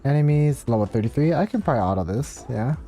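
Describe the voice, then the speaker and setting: A man's voice: mid-range voice, animated, quick pace, warm tone. A man doing casual, offhand game stream commentary, chatting to viewers and reading out bits of quest text. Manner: offhand, reads dialogue aloud between asides to chat. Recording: game music behind. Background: game music.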